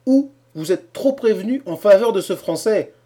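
A man's voice: high-pitched, animated, moderate pace, dark tone, pitched above the performer's own voice. A man voicing a clumsy young Englishman who speaks in exasperation. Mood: pleading.

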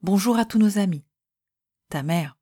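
A woman reading historical letters aloud in a measured, gentle way. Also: medium pitch, slightly rough. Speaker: reader.

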